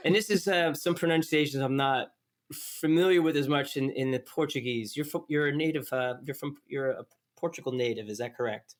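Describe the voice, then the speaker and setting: A man's voice: mid-range voice, fairly quick. A man hosting an interview podcast, talking chattily and often stumbling and restarting his sentences. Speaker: podcast host. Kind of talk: hosted interview.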